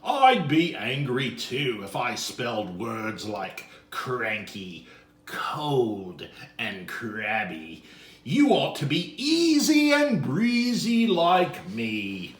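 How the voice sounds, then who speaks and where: A man's voice: mid-range voice, big pitch swings, drawn-out words, roomy sound. A man voicing a proud, boastful alphabet letter who mocks others in a children's story. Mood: proud, taunting. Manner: boastful, mocking. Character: proud, vain, taunting cartoon letter E.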